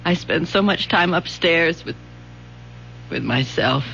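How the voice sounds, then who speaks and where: A woman's voice: fairly low pitch, animated, slow pace, gravelly, fairly bright tone, full character voice. A fragile middle-aged mother implores in a dramatic, wistful woman's voice, lonely and bitter yet tender. It is radio drama acting. The recording is muffled, with faint noise behind it. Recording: muffled old radio broadcast, faint hum.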